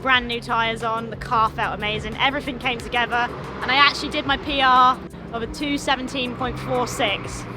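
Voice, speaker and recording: A woman racing driver giving a happy, animated update outdoors at a track. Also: a little high, fairly quick, over music. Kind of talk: casual vlog update.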